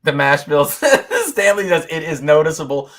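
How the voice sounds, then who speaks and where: A man hosting a whiskey-tasting livestream, talking loudly and excitedly, laughing often and reading viewer comments aloud into a boom mic. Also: moderate pace. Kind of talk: casual live-stream chat. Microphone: boom mic moved back from mouth.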